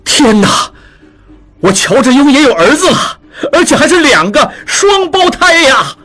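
An eager young merchant speaks brightly and animatedly, as a man plays him. His pitch leaps, with a husky grain. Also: expressive, moderate pace, soft background music. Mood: overjoyed.

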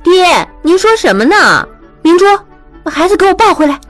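A woman's voice: sits high, animated, unhurried, a bit bright, soft background music, pitched above the performer's own voice. A woman voicing an affectionate, teasing young mother. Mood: playful.

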